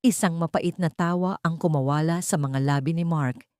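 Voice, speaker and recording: A woman reading a dramatic audiobook story, voicing every character herself in one steady storytelling voice. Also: low-pitched, normal speed, smooth, dry recording, studio quality. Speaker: audiobook narrator. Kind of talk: scripted dramatic narration.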